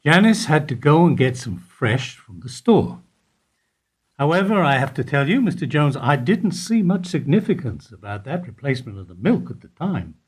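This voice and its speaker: A man acting in a radio play as an evasive older bank employee who answers in a guarded, deliberate way. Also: a little high, big pitch swings, slightly rough. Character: evasive older male bank foyer employee under questioning.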